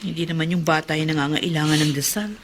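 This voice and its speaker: Chatty Filipino housewife, faint background noise. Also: female voice, low-pitched, steady tone, quick pace. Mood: gossipy, disapproving. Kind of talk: Filipino radio drama acting.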